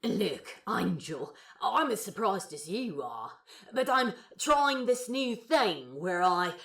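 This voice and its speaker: A woman voicing an awkward, lovestruck, foul-mouthed man in a fan fiction audio drama, halting and rambling, with a husky, breathy voice. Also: on the low side, a bit bright, full character voice. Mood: earnest, hesitant.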